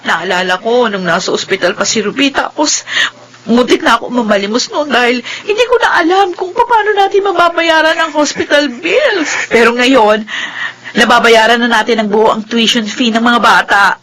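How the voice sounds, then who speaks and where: High, bright, animated Filipino mother. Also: moderate pace. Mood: moved, amazed. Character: mother of a newly well-off family, emotional and busy. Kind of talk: Filipino radio drama acting.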